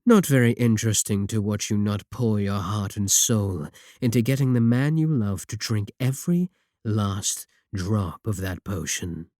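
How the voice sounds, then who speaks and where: A man playing a sly, sadistic warlock in a roleplay audio drama, smug and possessive, with taunting, deliberate phrasing. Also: lowish voice, dry recording, clean studio sound, close to an everyday voice. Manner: taunting, drawn-out, deliberate phrasing with dark chuckles. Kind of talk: scripted roleplay audio drama, spoken to the listener.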